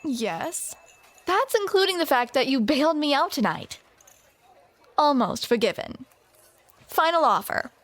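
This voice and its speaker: A woman performing a romantic audio roleplay, her voice sitting a little high and her delivery unhurried. She speaks close to the microphone and teases playfully in a scripted, intimate way. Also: sing-song, no echo. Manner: playful, teasing banter.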